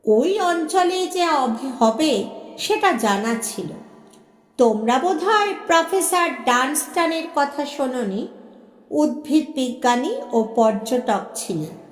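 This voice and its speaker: A woman storyteller voicing a grave, knowing male plant collector who explains things slowly and deliberately in story dialogue. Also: high-pitched, animated. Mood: matter-of-fact, ominous. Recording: roomy echo with long reverb.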